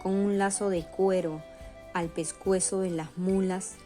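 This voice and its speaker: A woman's voice: medium pitch, fairly even intonation, a little slow, warm tone. A woman reading scripted narration for a radio novel. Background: faint music.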